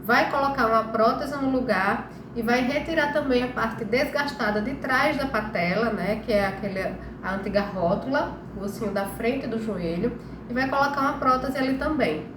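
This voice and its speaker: A woman's voice: fairly high pitch, moderate pace. A Brazilian woman with a slightly nasal, congested voice from a cold, explaining things informally as host of a health live stream and often checking that listeners follow. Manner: conversational, frequent check-in tags. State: congested, recovering from flu. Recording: echoey room with clear background noise.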